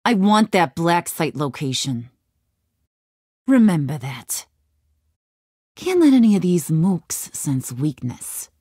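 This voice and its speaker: A woman's voice: low-pitched, very animated, slow pace, long pauses, dark tone, husky, dry recording, clean studio sound, heavily acted. An actress playing a cunning, menacing young female gang boss in a video game, taunting and theatrical, swinging from sweet to threatening. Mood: commanding.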